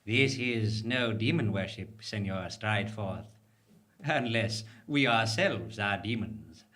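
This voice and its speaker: Man playing a deep-voiced ancient high priest. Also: animated, a bit bright. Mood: calm, assured. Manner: measured, formal, grandiose. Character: serene, ageless male high priest of an ancient people. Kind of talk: live comic audio drama acting. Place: small theatre stage, live performance.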